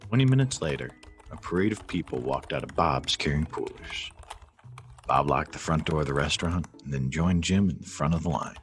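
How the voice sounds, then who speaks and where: A man's voice: a bass voice, expressive, brisk, soft background music. A man playing the narrator of a Christmas audio drama, reading scripted story narration. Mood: measured storytelling.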